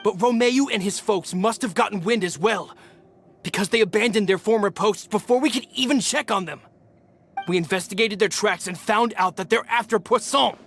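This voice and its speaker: A man's voice: high voice, moderate pace, gravelly, a bit bright. An actor playing a dutiful, earnest male henchman reporting to his boss in a video game. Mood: urgent, earnest. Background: faint music.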